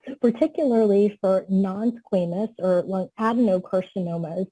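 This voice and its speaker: A woman oncologist giving a medical webinar lecture, explaining clearly, a little hoarse. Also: mid-range voice, moderate pace, mellow, dry recording, low-quality recording. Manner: clear explanatory tone, asks for next slide. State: slightly losing her voice.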